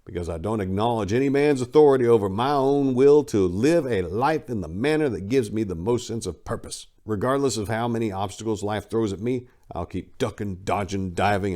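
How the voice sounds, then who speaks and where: Low-pitched man giving a dramatic reading. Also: normal speed, hardly any pauses, a little mellow. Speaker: reader of a memoir.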